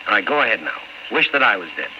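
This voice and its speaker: Noisy vintage radio drama, tough city guy, impatient, brusque, sceptical, animated pitch. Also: quick pace. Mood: insistent, goading. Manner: brusque, insistent, exasperated. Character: impatient, tough, sceptical city man narrating his story. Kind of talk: old-time radio drama acting with first-person narration. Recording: muffled, noisy old-time radio recording.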